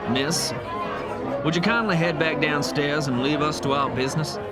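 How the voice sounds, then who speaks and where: A man's voice: fairly high pitch, moderate pace, natural voice. A man playing a stern, curtly polite henchman in a western audio drama. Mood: firm warning. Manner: firm, curt, formally polite. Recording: loud saloon crowd and music behind. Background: crowd chatter and music.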